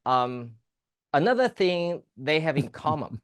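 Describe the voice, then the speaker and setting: A man's voice: on the high side, big pitch swings, unhurried. Taiwanese man hosting an interview. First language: Chinese. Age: middle-aged or older. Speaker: talk show host and interviewer. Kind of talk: formal interview with light banter.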